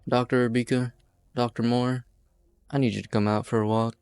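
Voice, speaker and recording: A man playing a weary, apologetic outlaw in an amateur game fan dub, speaking in a measured, resigned way. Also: mid-range voice, flat delivery, a little mellow, clean tone, dry recording, lightly acted. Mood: resigned, wry. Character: weary, apologetic outlaw hostage-taker, a former lawyer.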